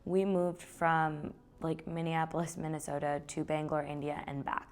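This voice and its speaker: A woman's voice: deep voice, normal speed. A young woman, founder of a clothing company, talking conversationally in an interview. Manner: relaxed, conversational. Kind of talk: interview, personal story.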